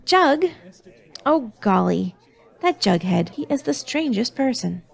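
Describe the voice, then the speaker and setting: A woman's voice: a little high, very animated, slow, creaky, full character voice. A woman playing a sweet, polite, easily flustered teenage girl in a 1940s-style radio comedy. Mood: puzzled. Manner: hesitant, earnest.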